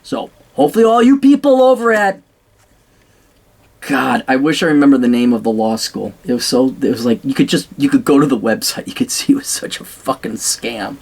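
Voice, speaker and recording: A man delivering a blunt, sarcastic monologue straight to camera, swearing freely as he goes. His voice sits at an ordinary middle pitch but swings widely up and down, and he rambles, breaking off and restarting his sentences. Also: lively intonation, a little fast, a little mellow. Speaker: vlogger giving blunt career advice.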